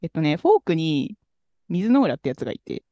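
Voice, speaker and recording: A man co-hosting a podcast, telling a story in a relaxed, rambling way with many fillers. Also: a little high, fairly quick, no room sound. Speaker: podcast co-host. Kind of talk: casual chat about music.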